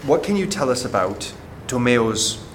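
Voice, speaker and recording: A man's voice: mid-range voice, big pitch swings, measured pace, a little hiss or hum. A man hosting an academic podcast, asking questions with chatty, joking asides. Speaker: podcast host. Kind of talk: academic interview.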